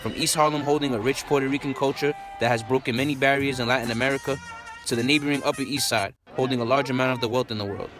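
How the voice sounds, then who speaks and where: A man's voice: mid-range voice, fairly even intonation, fast talker, over music. A man reading a scripted documentary voice-over in a proud, upbeat tone. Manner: proud, upbeat city introduction. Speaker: documentary narrator.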